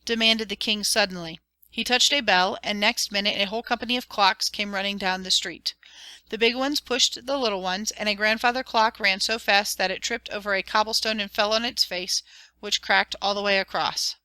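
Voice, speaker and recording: A woman narrating an audiobook with a clear, expressive reading. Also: mid-range voice, moderate pace, dry recording. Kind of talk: storybook narration.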